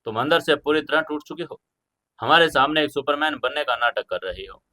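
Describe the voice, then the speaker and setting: A man's voice: on the high side, expressive, brisk, bright, forceful tone, pitched above the performer's own voice. A man voicing a protective young woman, stern yet loving and emotional, in an audio story. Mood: stern, hurt.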